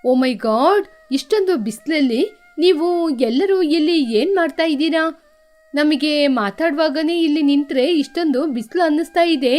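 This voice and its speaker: A woman's voice: high-pitched, moderate pace, darkish tone, soft background music, lightly acted. A woman voicing a stylish, fussy female pigeon in a children's cartoon, with an affected manner and English exclamations. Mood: fussy, surprised.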